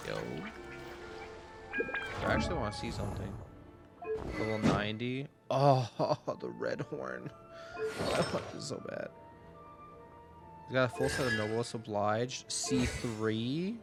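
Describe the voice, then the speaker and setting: A man's voice: mid-range voice, very animated, slow pace, gravelly, long pauses. A man doing casual, slangy live commentary as he streams a video game, with wry interjections. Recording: loud game music behind. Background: loud video game music.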